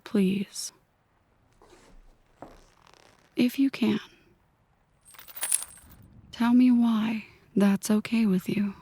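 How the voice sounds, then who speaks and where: A woman playing a possessive, brooding mafia boss in an ASMR roleplay, speaking in a breathy whisper, deliberately and intimately to one listener. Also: medium pitch, mellow, dry recording. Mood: quietly menacing.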